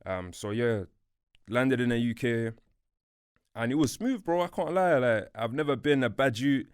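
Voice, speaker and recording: A young man from Portugal whose first language is Portuguese, a podcast guest chatting casually in relaxed slang, saying "bro" and "you feel me" a lot. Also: mid-range voice, moderate pace, clean tone, no echo, clean studio sound. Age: young adult. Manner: laid-back street slang, fillers like "you feel me", "bro". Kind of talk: casual interview chat. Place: podcast studio.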